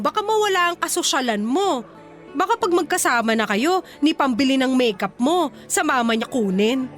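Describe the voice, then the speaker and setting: An actress with a Filipino accent playing a proud, self-possessed young mother and ex-wife in a radio romance drama. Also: high voice.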